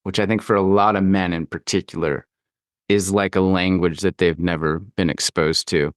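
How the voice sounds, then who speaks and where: A man's voice: deep voice, steady tone, normal speed, dry recording, studio quality. A man interviewing a guest in a reflective, conversational style, with thoughtful and articulate phrasing. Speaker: podcast interviewer.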